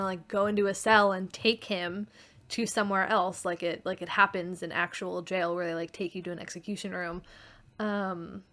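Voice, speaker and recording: A woman speaking as a podcast co-host in a relaxed, informal conversation. Her voice sits at an average pitch for a woman, and she talks in an everyday conversational style. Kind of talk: casual chat about a radio play.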